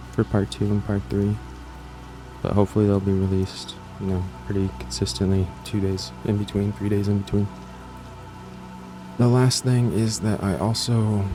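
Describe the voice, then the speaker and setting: A man introducing his own audio story to viewers in a casual, rambling, offhand way, repeating himself and trailing off. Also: on the low side. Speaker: creator and host of an audiobook channel.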